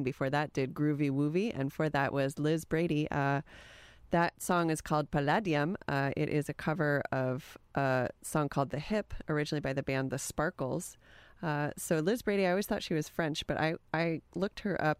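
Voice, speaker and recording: Woman radio dj, easygoing and meandering, smooth clear voice, dry studio sound. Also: low-pitched, darkish tone, dry recording. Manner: easygoing, meandering, enthusiastic about old records. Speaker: college radio DJ. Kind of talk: casual radio back-announcing and chat.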